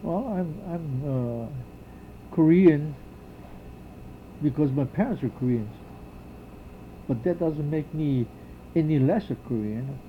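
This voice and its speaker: An older man from Hawaii, speaking slowly and haltingly with many pauses and restarts, as he answers questions in an oral history interview. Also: a little high, animated, narrow, tinny audio, a little hiss or hum.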